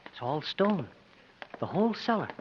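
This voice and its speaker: Loyal young male narrator-sidekick, high earnest voice, muffled old-time radio adventure. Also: very animated, normal speed, a little hiss or hum. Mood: wary. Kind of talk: 1950s radio drama narration and dialogue. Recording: muffled 1950s radio broadcast.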